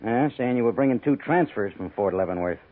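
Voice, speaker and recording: An actor playing a wary, wry army major in command of a fort, in 1950s radio western style, with a husky voice. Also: male voice, medium pitch, animated, a little fast, natural voice. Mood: brisk, skeptical. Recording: tinny 1950s radio broadcast recording.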